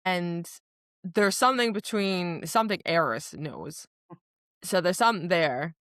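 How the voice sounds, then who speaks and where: A woman with a slightly low voice chats as a podcast co-host. She retells story scenes in an informal way, slipping into bits of dialogue as she goes. The sound is close and dry, with hardly any room. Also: expressive, a little slow, a bit bright, dry recording. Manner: informal, dramatizes characters' lines. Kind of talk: casual book chat, retelling scenes.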